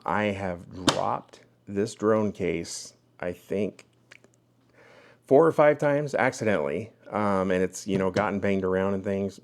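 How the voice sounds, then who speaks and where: A man's voice: low voice, a little mellow. A man giving a casual, hands-on product review as the host of his own video.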